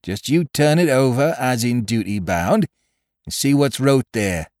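A man puts on a mutinous pirate crewman for an adventure storybook. He is blunt, belligerent and accusing, his rustic sailor speech pushed up and loud with lively swings. The recording is dry. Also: medium pitch, a little slow, no echo, voice pushed up, lightly acted. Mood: demanding. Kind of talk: dramatised audiobook reading of a classic adventure story.

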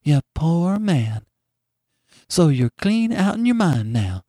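A fussing, motherly older farm wife, played by a male reader, rural American and folksy. Her pitch sits raised and swoops about as she exclaims. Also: sing-song, slow, dark tone, dry recording, clean studio sound, full character voice. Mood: pitying. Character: stout, kindly, fussing old farm woman.

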